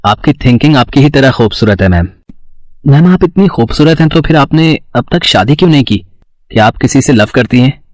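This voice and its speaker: A man's voice: medium pitch, lively intonation, a little fast, dry recording, natural voice. Man playing a lovestruck young student, close dry sound. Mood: admiring. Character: lovestruck young male student, earnest and pleading. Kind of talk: dramatized audio story acting.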